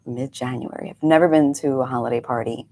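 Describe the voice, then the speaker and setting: A woman's voice: very deep voice, fairly even intonation, fairly quick, mellow, slight background noise. A woman in her forties, a meditation coach, chatting casually on a live stream, slightly congested and rambling through friendly asides. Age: 40s.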